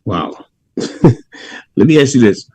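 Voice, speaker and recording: A man's voice: mid-range voice, expressive, takes it slowly, warm tone, no echo. A male talk show host asking his guest questions with enthusiasm, often repeating words as he frames them. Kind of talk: interviewing a guest.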